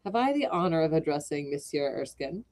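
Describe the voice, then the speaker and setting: A formal, courteous old gentleman, read by a woman delivering a message. The tone is lowish and measured, in plain storybook reading. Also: big pitch swings. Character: polite old gentleman with slight French accent.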